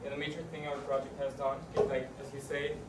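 A man's voice: mid-range voice, monotone, fast. A teenage boy presenting a school project casually, filling gaps with "like", recorded from a distant camera in a classroom. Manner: casual, uses filler "like". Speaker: student presenter. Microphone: far camera. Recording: echoey classroom from far off, noisy.

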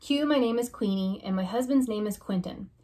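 A young woman reading a children's alphabet picture book aloud, slow and deliberate, stressing each opening letter, in a small room. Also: medium pitch, dark tone. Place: small room indoors by a curtained window.

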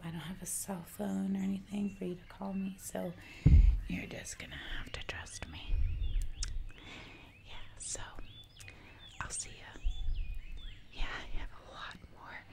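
A young woman voicing a casually menacing jungle giantess in a soft, chatty whispered ASMR roleplay, close to the microphone. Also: medium pitch, gravelly. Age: young adult. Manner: soft, slow, repetitive, chatty. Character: casually menacing female jungle giantess who eats people.

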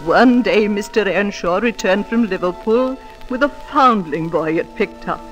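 A woman playing a grave, weary old housekeeper in a 1940s radio drama, deferential and measured. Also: medium pitch, natural voice, poor audio. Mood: solemn, reminiscing. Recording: old radio broadcast with music behind.

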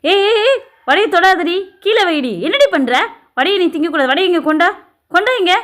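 A woman's voice: high-pitched, fairly quick, close to an everyday voice. A woman playing a cruel, middle-aged village stepmother who nags and orders people about in an amateur family drama. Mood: angry, commanding. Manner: harsh, nagging, bossy.

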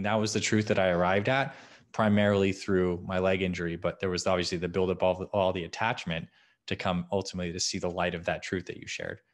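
Very deep-voiced male guest. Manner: agreeable, frequent short affirmations. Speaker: guest, health expert. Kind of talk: interview answers. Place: home office. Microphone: video call.

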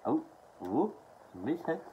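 A young adult man from Australia talking haltingly and with mumbled words in a casual show-and-tell to camera at home. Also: fairly high pitch, very animated. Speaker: collector showing his media haul. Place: small furnished room at home, indoors. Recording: dull lo-fi sound with background noise.

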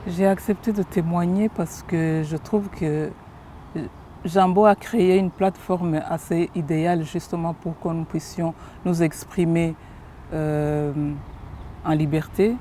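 A middle-aged woman from Rwanda giving a personal testimony in an outdoor interview, speaking hesitantly with frequent pauses and fillers. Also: on the low side, steady tone, warm tone, a little hiss or hum. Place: park lawn outdoors.

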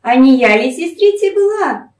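A woman's voice: high voice, sing-song, moderate pace, a little mellow. A woman with a Northern Russian Pinega dialect voicing a meek, pleading young peasant girl in a folk tale. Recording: echoey room, distant microphone.

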